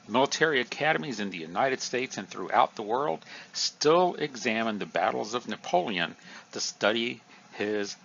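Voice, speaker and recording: A man teaching a recorded history review lesson, explaining in a lively, emphatic way. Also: lowish voice, vocal fry, muffled recording, a little hiss or hum.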